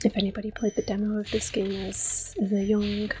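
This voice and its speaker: A woman in her thirties giving soft-spoken ASMR gameplay commentary, often slipping into a whisper, close to the mic. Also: medium pitch, monotone, a little fast, slight background noise. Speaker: ASMR gaming channel host.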